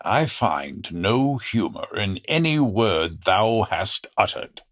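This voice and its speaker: A wicked, boastful sheriff from a medieval radio-style drama. The voice is rough and husky, taking its time over archaic, theatrical lines. The pitch swings widely as pomposity turns to temper. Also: male voice, big pitch swings, slow, fairly bright tone, full character voice. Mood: angry. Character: villainous, boastful medieval English sheriff, easily angered. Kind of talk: audio drama acting in archaic English.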